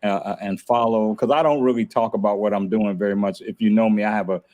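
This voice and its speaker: A man's voice: lowish voice, steady tone, quick pace, darkish tone, dull audio. A man hosting a casual podcast, talking in a laid-back, grateful way and laughing often. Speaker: podcast host. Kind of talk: casual chat.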